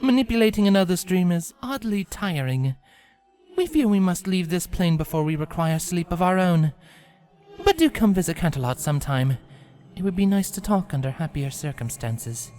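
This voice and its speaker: Regal pony princess of dreams, put on by a fanfiction narrator: grave, formal, archaic, measured, music underneath. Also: mid-range voice, lively intonation, a little mellow, voice pushed up, close to an everyday voice. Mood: regal, gentle.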